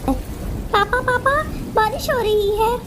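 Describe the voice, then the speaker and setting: A woman's voice: extremely high pitch, takes it slowly, background noise. A woman voicing a frightened little child bird in a cartoon, childlike and anxious. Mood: excited.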